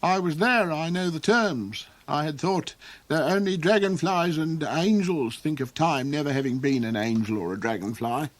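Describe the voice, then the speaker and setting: A man's voice: a little high, normal speed, pitched above the performer's own voice, lightly acted. A man voicing a proud, cheerful, riddling little old man who hesitates and chuckles in a storybook reading. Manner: hesitant, full of ums, chuckling.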